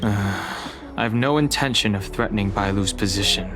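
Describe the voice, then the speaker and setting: A man's voice: lowish voice, moderate pace, natural voice. An actor playing a reserved, burdened young dragon-kin man in a video game, speaking in a restrained, solemn way over music.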